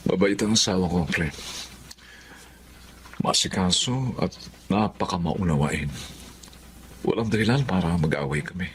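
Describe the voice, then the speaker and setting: A man's voice: low voice, unhurried, pauses often. A man playing a loyal, uneasy husband in a Filipino drama, speaking in an earnest, conversational way. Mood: troubled. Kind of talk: Filipino radio-style drama acting. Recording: faint background noise.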